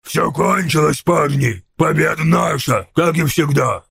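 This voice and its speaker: A man voicing a cocky, bossy orc mechanic boss in an audiobook, raspy and slangy. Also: a little high, unhurried, fairly bright tone, dry recording, studio quality, heavily acted. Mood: triumphant. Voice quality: gravelly, raspy. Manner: slangy, swaggering.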